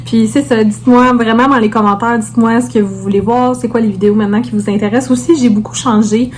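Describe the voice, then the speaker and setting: A woman's voice: a little high, steady tone, a little fast, slight background noise. A woman with a Québécois French accent chatting casually to viewers as a vlogger, informally peppering her talk with English words.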